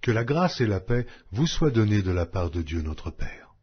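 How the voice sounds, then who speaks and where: Male scripture reader, solemn, declamatory, widely varied pitch, husky tone, slightly dull recording. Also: sing-song, moderate pace. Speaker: audio Bible reader.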